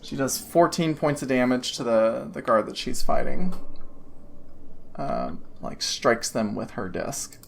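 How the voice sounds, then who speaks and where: A woman's voice: very deep voice, animated, a little slow, vocal fry, slight background noise. A woman narrating a tabletop game as its game master, rambling with lots of fillers and laughing often.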